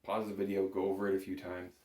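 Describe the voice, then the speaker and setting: A man's voice: sits low, completely flat delivery, fast talker, mellow. A man giving an informal, patient music lesson, talking learners through it step by step. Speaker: bagpipe instructor. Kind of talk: instructional music lesson to camera. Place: indoor room, echoey. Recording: echoey room.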